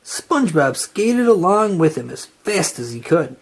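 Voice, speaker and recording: A narrator reading a children's storybook aloud in an animated style. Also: mid-range voice, unhurried, husky.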